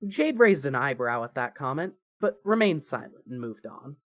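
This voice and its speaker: A man's voice: medium pitch, sing-song, normal speed, a bit bright, no echo. A man reading scripted story narration for an audio fan-fiction drama.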